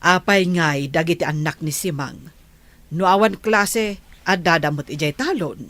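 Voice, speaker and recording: A woman's voice: fairly low pitch, unhurried, a bit bright, no echo. A woman with an Ilocano accent playing a nosy village woman who prods her neighbour in a radio comedy drama. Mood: concerned.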